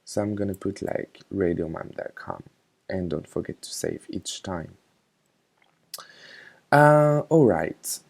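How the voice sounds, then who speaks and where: Slow, low-pitched man with a creaky voice. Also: sing-song, a little mellow. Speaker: software tutorial instructor. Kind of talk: casual screen tutorial narration.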